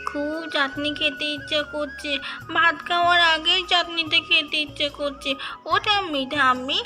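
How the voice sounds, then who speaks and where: A woman's voice: high-pitched, bright, forceful tone, exaggerated voice. A woman voicing an eager, food-loving little child in a cartoon, chattering and wheedling with repeated calls. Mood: pleading. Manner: wheedling, repetitive calling.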